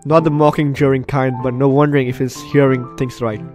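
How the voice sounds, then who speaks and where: Male let's-play host, medium pitch, expressive reading and interjected commentary, game music in the background. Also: brisk. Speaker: gaming video host. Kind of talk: gameplay commentary and reading game text aloud.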